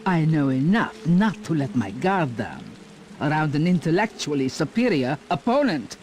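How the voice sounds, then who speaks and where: An actress voicing a haughty, cunning villainess in a video game, speaking in a pompous, contemptuous and theatrical way. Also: female voice, deep voice, sing-song, normal speed, a little mellow, slight background noise. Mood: smug. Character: haughty, cunning aristocratic villainess, a sinister intellectual creature.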